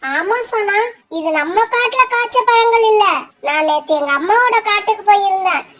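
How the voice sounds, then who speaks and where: Squeaky, bright little cartoon bird. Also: female voice, expressive, moderate pace. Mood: friendly. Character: friendly little female bird, bright and chirpy. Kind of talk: children's cartoon story dialogue.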